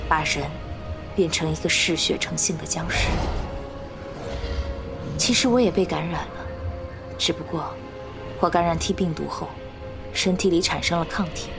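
An actress playing a guarded fugitive woman, an escaped bioweapon, speaking gravely and in a measured way in a radio drama. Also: low-pitched. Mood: somber. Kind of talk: dubbed Chinese radio drama acting. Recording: music bed under the voice.

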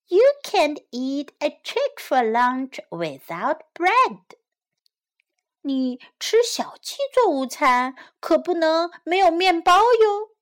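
A woman's voice: high-pitched, sing-song, takes it slowly, voice pushed up, full character voice. A woman voicing a small, clever, fearless young female chick in a children's story, with a plucky delivery. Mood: urgent.

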